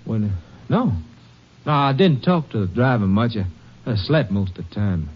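A man's voice: lowish voice, sing-song, moderate pace, husky. An actor playing an uneasy, defensive country man with a rural Southern accent, hesitant and easily riled, in a 1950s western radio drama. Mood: hesitant, evasive. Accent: rural Southern American. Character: uneasy, defensive male Texas farm brother. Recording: muffled old radio recording.

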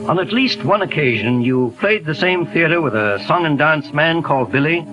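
An actor playing a calm, courteous male detective in a 1940s radio drama, asking polite, probing questions. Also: on the high side, moderate pace, lo-fi sound, natural voice. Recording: 1940s radio broadcast with music.

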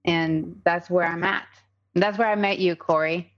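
A low-voiced Colombian woman in her thirties, a native Spanish speaker who speaks English with an accent. She talks earnestly as a podcast guest, piling up fillers and restarts. The recording sounds dull and lo-fi. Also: steady tone, moderate pace. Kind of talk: casual podcast conversation.

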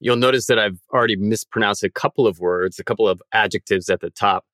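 A man's voice: fairly low pitch, fast talker, fairly bright tone, no echo, clean studio sound. A man co-hosting a podcast, explaining things in a methodical, precise way. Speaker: podcast co-host.

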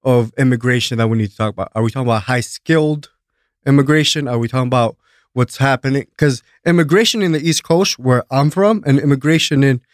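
A man's voice: medium pitch, fast, dry recording, studio quality. A man who grew up in Greece, answering as an interview guest in an earnest way, often stumbling as he starts sentences. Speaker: guest, talent agency principal. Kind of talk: casual interview talk.

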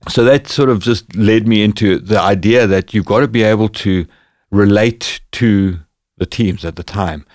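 Podcast guest, Zimbabwean man, low-ish voice, animated storyteller, dry room. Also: normal speed, dry recording. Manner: animated anecdotes, lively quoted dialogue. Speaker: podcast guest, former bodyguard. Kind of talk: casual storytelling.

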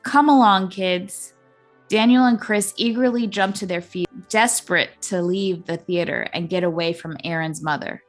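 A woman with a slightly low voice narrates a story in a homemade storybook style. Faint music runs underneath her reading. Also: fairly even intonation, normal speed, hardly any pauses. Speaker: podcast host reading a story aloud.

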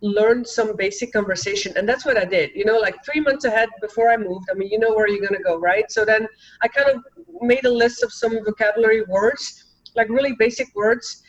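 A woman's voice: medium pitch, brisk, lo-fi sound. A woman in her forties with a Dutch accent, an interview guest talking in an earnest, encouraging way. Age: 40s. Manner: earnest, encouraging, many restarts. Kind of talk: casual interview talk.

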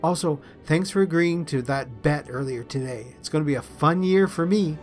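A man's voice: fairly high pitch, moderate pace, close to an everyday voice. A mischievous young woman with ambition and a teasing streak , read by a man. She is a student journalist in a storybook, bantering with her boyfriend, with music underneath. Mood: teasing, smirking.

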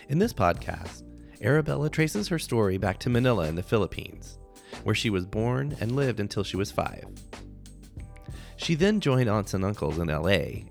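A man hosting a podcast, reading a measured intro. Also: on the low side, vocal fry. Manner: measured, with short affirming murmurs. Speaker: podcast host. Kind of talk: scripted podcast intro and interviewing.

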